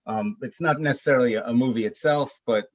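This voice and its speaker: A man's voice: medium pitch, fast, dull audio. A man musician being interviewed, talking in a laid-back, hesitant way with ums. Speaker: band bassist, interview guest. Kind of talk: casual interview chat.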